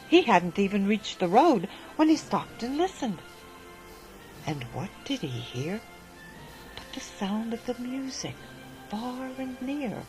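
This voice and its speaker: A woman reading a rhyming children's story aloud in a gentle, sing-song manner. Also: mid-range voice, poor audio. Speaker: narrator.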